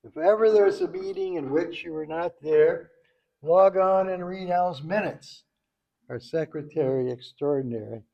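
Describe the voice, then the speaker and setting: A man reads a rhyming tribute aloud in a mellow, slightly high voice. His delivery is slow and halting, with the odd stumble. The event microphone gives the sound a muffled edge. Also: vocal fry. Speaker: club member reading a tribute poem at a banquet. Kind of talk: reading a rhyming poem aloud. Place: banquet event, speaking to a group.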